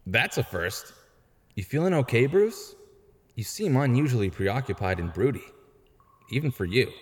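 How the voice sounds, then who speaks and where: A man's voice: mid-range voice, sing-song, normal speed, lightly acted. A man playing a wisecracking young vigilante and former sidekick in an audio drama, full of breezy, sarcastic banter. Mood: teasing. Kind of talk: fan audio drama acting. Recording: long hall-like reverb.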